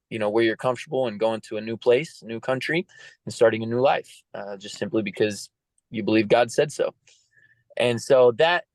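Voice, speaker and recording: A man's voice: mid-range voice, clear, resonant tone, no echo. A man in his thirties from the United States, talking earnestly and reflectively as a podcast guest, with many fillers. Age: 30s. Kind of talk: casual interview talk.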